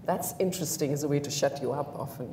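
A woman in her sixties with an Indian accent, telling lively anecdotes on a panel and acting out the lines of the people in them. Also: low voice, fast. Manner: animated storyteller, acts out quoted lines, laughs. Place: bright large indoor room, seated in armchair. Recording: echoey room, distant mic, faint hiss.

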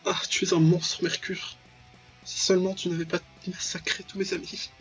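A man's voice: high-pitched, level tone, normal speed, lo-fi sound, faint music behind. A young man giving casual, slangy let's play commentary, with mock-dramatic, exclamatory reactions. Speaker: gaming video host.

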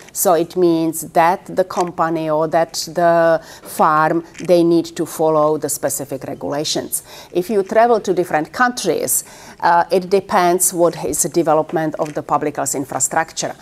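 Low-voiced Czech-accented woman lecturing. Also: a little mellow. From Czech Republic. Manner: halting, many fillers, gestures while talking. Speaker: university lecturer. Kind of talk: classroom lecture. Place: lecture classroom with students.

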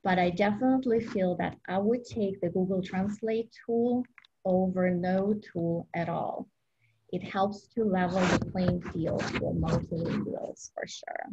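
A woman's voice: lowish voice, fairly even intonation, unhurried, warm tone, muffled recording, a little hiss or hum. A woman whose first language is Russian, presenting an educational webinar over a video call in an upbeat, explanatory way with frequent ums.

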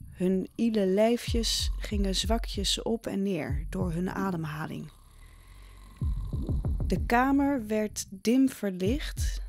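Slow-paced woman narrating a dark story. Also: medium pitch, slight background noise. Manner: measured, suspenseful storytelling. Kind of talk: scripted dramatic story narration. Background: faint music.

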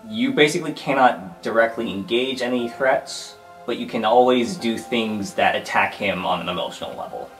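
A man's voice: on the low side, normal speed, fairly bright tone, soft background music. A man as game master on a casual roleplay podcast, explaining the rules and the scene.

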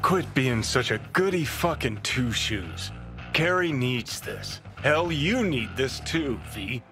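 A man's voice: mid-range voice, expressive, takes it slowly, a bit bright, soft background music. An actor playing a cynical, goading male rebel in a video game, sardonic, needling and profane.

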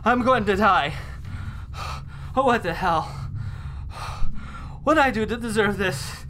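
A woman's voice: takes it slowly, fairly bright tone. A woman playing a frantic, desperate stowaway pleading for life in an audio drama, sometimes sarcastic. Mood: bitter anger. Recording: faint noise with low rumble.

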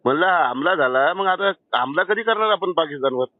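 A man argues over a muffled, dry phone recording. His voice is very high and races along. He is agitated and insistent, repeating phrases and cutting in. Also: lively intonation, fairly quick, dry recording. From Maharashtra, India. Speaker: caller, angry citizen. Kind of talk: confrontational phone conversation. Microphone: telephone. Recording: dull lo-fi phone call recording.

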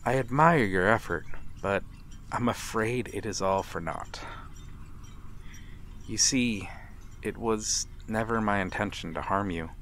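A man playing a courteous, melancholy vampire in a dramatic ASMR roleplay, speaking formally and with measured pauses. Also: lowish voice, fairly bright tone. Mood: calm, knowing. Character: courteous, melancholy male vampire, lonely and mysterious. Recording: clear background ambient noise. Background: night harbor ambience.

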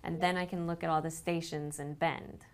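Woman, thirties, low voice, scripted product demo presenter. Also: flat delivery, normal speed, background noise. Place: indoor living-room set, seated on a sofa.

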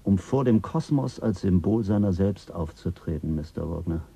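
Radio drama: reflective psychologist, deep, husky, earnest. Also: male voice, expressive, normal speed, warm tone, exaggerated voice. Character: calm male psychologist, reflective and principled. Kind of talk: 1970s German radio drama.

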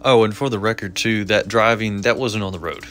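A man's voice: fairly low pitch, fairly quick, a bit bright. Laid-back young man rambling in a truck. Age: young adult. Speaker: vlogger. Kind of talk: casual chat. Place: inside a truck. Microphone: phone at arm's length.

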